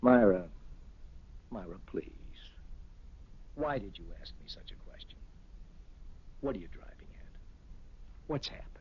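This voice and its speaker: A man's voice: lowish voice, lively intonation, measured pace, pauses often, a little hiss or hum. An actor playing an earnest, disbelieving male friend in a radio drama. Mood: worried, hushed. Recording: dull vintage radio recording.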